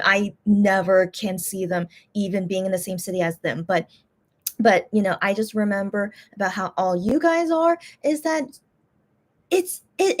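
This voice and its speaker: Higher-pitched woman chatting casually to viewers. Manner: repeats phrases for emphasis. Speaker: vlogger.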